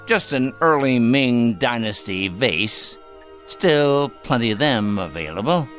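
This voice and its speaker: An actor playing a wealthy, sardonic old grandfather with a dry, unhurried manner in a radio drama. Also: medium pitch, expressive, a bit bright, soft background music. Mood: mocking, commanding. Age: elderly. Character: sardonic, dying old rich patriarch, slyly patient.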